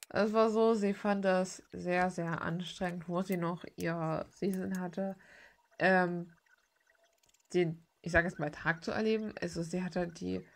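A woman's voice: medium pitch, slight background noise. A young woman chatting informally and hesitantly while she draws, thinking aloud in slangy language. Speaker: art vlogger. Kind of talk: casual rambling chat while drawing. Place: cluttered room at home, at a desk.

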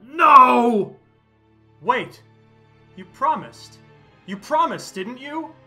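High, distraught young vampire mistress. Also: male voice, expressive, bright, forceful tone, exaggerated voice. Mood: pleading. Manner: drawn-out, halting theatrical delivery. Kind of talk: amateur comic dub acting. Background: music.

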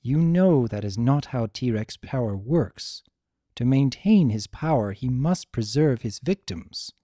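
A man's voice: medium pitch, expressive, slow pace, warm tone, no room sound, studio quality. A man voicing a wise, regretful elder pony princess in a dramatic story reading, grave, restrained and formal. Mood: grim.

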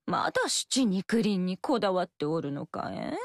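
A woman's voice: fairly low pitch, big pitch swings, normal speed, no room sound. An actress voicing a scheming, seductive female fox spirit in a video game, coy and languid. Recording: dry studio recording.

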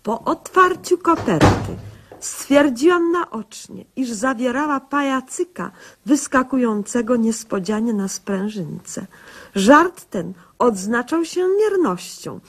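A woman's voice: a little high, expressive, takes it slowly, husky, heavily acted. An actress playing a self-important young woman doctor who narrates her own cases in a pompous, official way in a radio comedy sketch. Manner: pompous, bureaucratic, mock-official.

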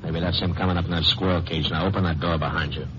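A man's voice: low-pitched, fairly even intonation, fast talker, close to an everyday voice. An American actor playing a menacing, slangy tough guy in a 1950s radio crime drama. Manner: slangy, hard-boiled, threatening. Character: menacing tough gunman with wry underworld slang. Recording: muffled, noisy 1950s radio recording.

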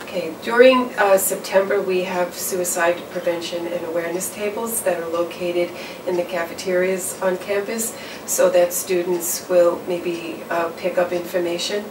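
A middle-aged woman answering interview questions as a campus counselor, measured and explanatory, with frequent fillers and restarts. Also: fairly low pitch, fairly even intonation. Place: office or studio in front of a backdrop. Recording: roomy, distant mic with background noise.

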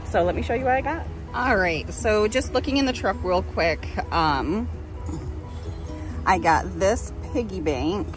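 Low-pitched American woman vlogging casually. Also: low-quality recording. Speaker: vlogger and vintage reseller. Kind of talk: casual vlog chat. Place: inside a parked truck.

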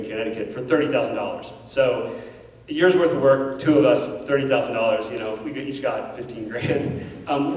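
Fast-talking man in an echoey hall. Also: medium pitch, recorded from a distance, a little hiss or hum. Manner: animated, gestures while pacing the stage; candid, self-deprecating. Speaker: keynote speaker. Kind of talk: conversational keynote storytelling. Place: large indoor auditorium stage. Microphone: handheld mic.

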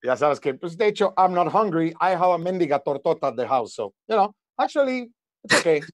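Blustering dad, profane bilingual banter, very animated pitch, dry close sound. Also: male voice, fast, dry recording. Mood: flustered, warning. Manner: switches between Spanish and English. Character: gruff Mexican father, profane, blustering, then grudgingly approving. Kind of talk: comedic table read. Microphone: video call.

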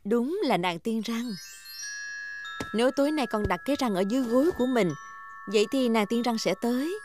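A woman voicing a kind, motherly mummy pig in a Vietnamese cartoon dub, with a gentle, expressive way of talking. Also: fairly high pitch, normal speed, music in the background, close to an everyday voice.

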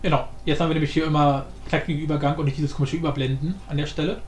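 A man with a notably high voice talks through a tutorial in an informal, think-aloud way. He sounds like he is in a reverberant room, with some light noise behind him. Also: flat delivery, racing, darkish tone. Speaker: tutorial host. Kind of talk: casual screen-recorded tutorial commentary. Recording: roomy echo with background noise.

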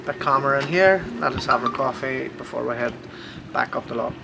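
A man's voice: mid-range voice, a little fast, vocal fry. A man, chatting casually to a handheld camera while sailing a small boat. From Northern Ireland. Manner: relaxed, informal, chatty, with easy fillers. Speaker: sailing vlogger. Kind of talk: casual on-board narration. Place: outdoors on a small sailboat and in its cabin. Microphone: action camera held close. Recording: windy outdoor camera audio. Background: wind and water noise.

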